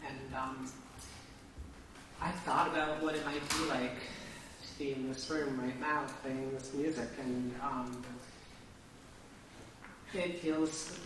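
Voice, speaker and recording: A man giving an informal spoken introduction at a concert as a composer, pausing often and speaking hesitantly into a microphone. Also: mid-range voice. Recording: very echoey hall, distant, clearly noisy.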